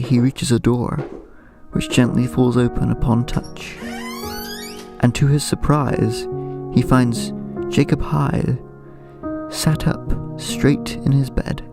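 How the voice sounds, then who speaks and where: A man narrating a horror drama in a slow, ominous tone. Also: mid-range voice.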